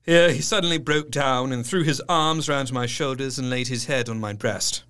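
An actor playing a grieving young doctor, narrating his diary in a measured, literary and solemn way. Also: medium pitch, fairly bright tone, clear, resonant tone, close to an everyday voice. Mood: sombre, tender. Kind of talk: dramatic first-person audio drama narration. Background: music.

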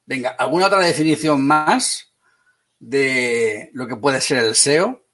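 Spanish guy teaching a class online, quick and jokey, calls on students by name, normal pitch. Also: a bit bright. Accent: Spanish (Spain). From Spain. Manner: brisk, teasing, talks to students by name.

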